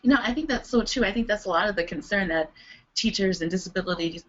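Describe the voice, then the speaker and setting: A woman's voice: mid-range voice, quick pace, muffled recording. A woman moderating a discussion, agreeing and then posing a question. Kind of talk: conversational discussion.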